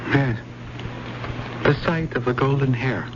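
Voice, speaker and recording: A man's voice: medium pitch, takes it slowly, close to an everyday voice. A man playing a formal, brooding professor, muffled radio. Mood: distracted. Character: grieving, stuffy-but-polite widowed male English literature professor. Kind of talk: 1970s radio drama acting. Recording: muffled, noisy old radio broadcast.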